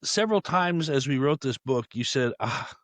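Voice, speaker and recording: A man's voice: medium pitch, animated, moderate pace, no echo. A man with an American accent, hosting a podcast in a conversational discussion. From United States. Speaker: podcast host.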